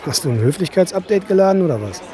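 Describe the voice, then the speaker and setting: An actor playing a breezy, informal security officer in a science-fiction radio drama. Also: male voice, high voice, lively intonation. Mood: casual, brisk. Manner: quick, casual, teasing. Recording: busy, loud crowd background.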